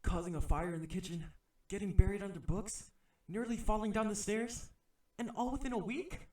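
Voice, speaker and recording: A man's voice: sits high, fairly even intonation, normal speed, warm tone. A man playing an exasperated assassin who poses as a dutiful bodyguard in an ASMR audio roleplay, alternating polite formal address with grumbling inner thoughts.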